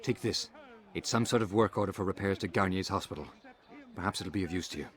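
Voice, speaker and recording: A businesslike informer, played by a man speaks in a video game. The voice is low and hurried, brisk in tone, under loud background noise. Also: natural voice. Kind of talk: video game character acting.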